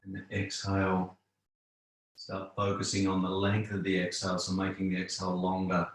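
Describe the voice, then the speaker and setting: A man teaching yoga, guiding relaxation and breathing in calm, unhurried phrases with long pauses. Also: low voice. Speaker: yoga instructor. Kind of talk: guided yoga relaxation instruction. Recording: very echoey room.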